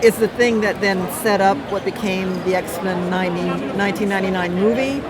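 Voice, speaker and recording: Middle-aged female guest, emphatic and appreciative, medium pitch, casual interview, crowded noisy recording. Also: a little fast. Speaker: interview guest, TV writer and producer. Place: busy comic convention. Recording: noisy busy convention with crowd din.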